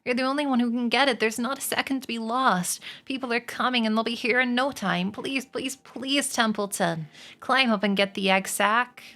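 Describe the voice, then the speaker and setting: A woman reading a storybook aloud and voicing a frantic young male pig who pleads in a high voice. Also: normal speed, sharp tone. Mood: pleading.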